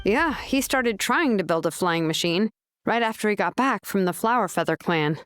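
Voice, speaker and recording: A woman's voice: mid-range voice, expressive, moderate pace, music in the background, lightly acted. Woman acting an easygoing, wry tribeswoman. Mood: wry, informative. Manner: casual, wry, explanatory. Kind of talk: video game voice acting.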